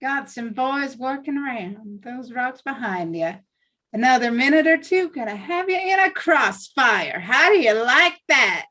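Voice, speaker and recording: High, manic cowboy outlaw played by a woman. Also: sing-song, measured pace, pushed and bright, exaggerated voice. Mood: gleeful taunting. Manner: gleeful, drawling, whooping taunts. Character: manic taunting male cowboy outlaw leader. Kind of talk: live table-read acting.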